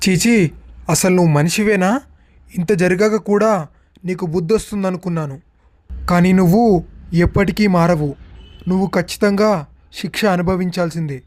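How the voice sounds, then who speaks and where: A proud, harsh father-in-law, voiced by a man in a storybook drama. His voice sits high yet mellow and dark, and he scolds sternly. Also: moderate pace, long pauses, lightly acted. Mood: angry.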